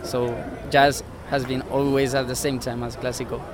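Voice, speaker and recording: A man's voice: medium pitch, flat delivery, normal speed. A man in his twenties from Spain, giving hesitant interview answers in simple English outdoors. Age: 20s. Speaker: interviewee, jazz pianist. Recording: crowd noise behind.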